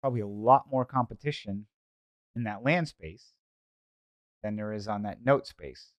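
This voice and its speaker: Low-voiced Boston man, dry podcast sound. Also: normal speed, dry recording. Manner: rhetorical self-questioning, conversational asides. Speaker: podcast host, investor. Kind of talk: solo podcast commentary, informal.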